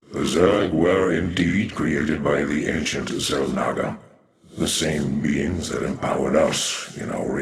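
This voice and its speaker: A man voicing an ancient alien dark templar warrior in a video game, grave and imperious, with solemn, archaic grand speech. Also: a bass voice, background noise, exaggerated voice. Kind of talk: theatrical video game acting. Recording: roomy echo on the voice.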